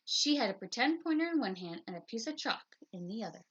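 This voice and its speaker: A woman reading a children's story aloud in an expressive storytelling style. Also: a little high, a little fast, husky, highs cut off. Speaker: picture book reader.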